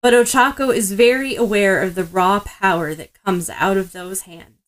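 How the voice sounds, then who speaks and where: A woman's voice: mid-range voice, moderate pace. Female narrator, mid-range voice, reading prose fiction for a podfic. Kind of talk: scripted story narration.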